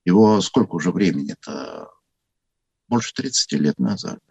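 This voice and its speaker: A man with a husky voice giving an unscripted talk on church history, thoughtful and full of hesitations. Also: low-pitched, expressive, normal speed, a little mellow, dry recording, lo-fi sound.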